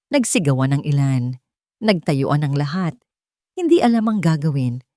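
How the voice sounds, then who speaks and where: Expressive woman narrating a dramatic story. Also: fairly low pitch, no room sound, studio quality. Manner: theatrical, suspenseful delivery.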